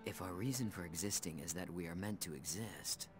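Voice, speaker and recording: An actor playing a gentle, pensive young man in a game cutscene, calm, reflective and earnest. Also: sits low, a little fast, over music, natural voice. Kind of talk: dramatic video game cutscene acting.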